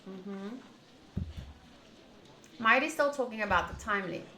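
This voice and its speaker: A woman's voice: medium pitch, a little slow, sharp tone, echoey room. A woman streamer giving casual, chatty playthrough commentary, reading out lines and adding playful asides. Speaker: game streamer.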